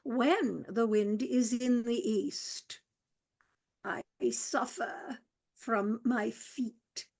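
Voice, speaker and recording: A woman playing a pompous, slow-moving male butler in a radio play, solemnly complaining of his aches. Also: on the high side, creaky, darkish tone, heavily acted. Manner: ponderous, solemn, complaining about ailments.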